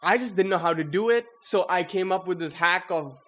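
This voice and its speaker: A young man giving a casual, slangy walkthrough of his code, excitable and self-deprecating. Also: high voice, fairly quick. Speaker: coder presenting own project. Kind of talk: casual screen-recorded coding walkthrough.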